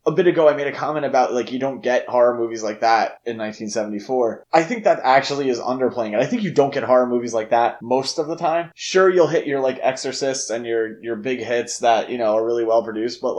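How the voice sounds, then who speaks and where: An emphatic man with a mid-pitched voice talks films as a podcast co-host. He is casual and conversational, and states his opinions firmly. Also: a little mellow. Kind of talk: casual film discussion.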